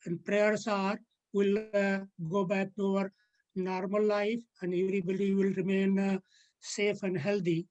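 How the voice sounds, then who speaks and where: A seventy-six-year-old woman giving measured personal answers in an interview. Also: medium pitch, flat delivery, a little mellow, dull audio.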